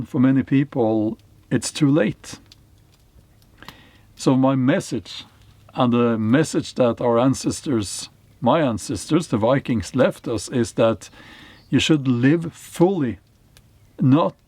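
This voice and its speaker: Slow-spoken man with a Norwegian accent. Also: medium pitch, darkish tone, breathy edge, no echo. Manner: slow and deliberate, with pauses and fillers. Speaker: channel host, historical novelist. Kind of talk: reflective monologue to camera. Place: small cave in a forest.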